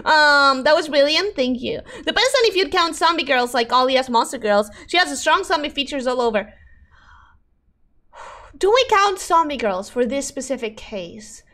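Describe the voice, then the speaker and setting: A woman's voice: sits high, moderate pace. A woman who is a native Spanish speaker, chatting casually and expressively as she livestreams a game. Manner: animated, expressive, exclaiming. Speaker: game streamer. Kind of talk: casual livestream chat over gameplay.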